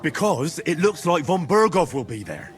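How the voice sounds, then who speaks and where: A man's voice: on the high side, very animated, normal speed, faint music behind. A man playing a practical, plain-spoken young commoner adventurer in a video game, direct and down-to-earth. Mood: explaining.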